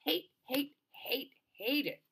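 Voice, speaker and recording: A woman's voice: deep voice, big pitch swings, a little fast, a bit bright, a little hiss or hum, heavily acted. A woman reading a storybook as a determined, frustrated teenage girl, blunt, sarcastic and slangy.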